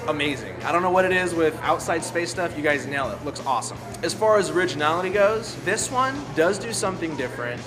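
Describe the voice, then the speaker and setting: A man with a slightly high voice hosts a casual film review show. He speaks informally, in short punchy phrases. Music plays clearly behind him. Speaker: host of a movie review show. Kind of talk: casual movie review commentary. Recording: loud music bed behind voice.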